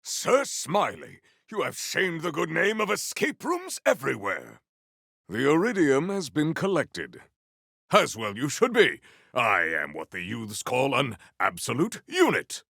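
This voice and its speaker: Noble video game warrior man, slow stately formal talk, big swoopy delivery. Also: mid-range voice, wildly swooping pitch, creaky, sharp tone, dry recording, studio quality, heavily acted. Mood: grandly delighted. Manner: stately, theatrical, archaic formal phrasing. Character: proud, honorable, formal warrior, adult man, earnest and grandiose. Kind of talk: video game character voice lines.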